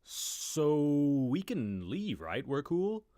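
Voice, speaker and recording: An actor playing a baffled, awkward husband protesting in a comedic mystery audio drama. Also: male voice, fairly high pitch, very animated, drawn-out words, warm tone, no echo, studio quality, exaggerated voice.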